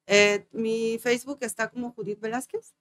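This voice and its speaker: A woman from Veracruz with a Mexican Spanish accent, a guest mother speaking heartfelt and soft-spoken in a casual interview. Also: fairly high pitch, fairly even intonation, normal speed.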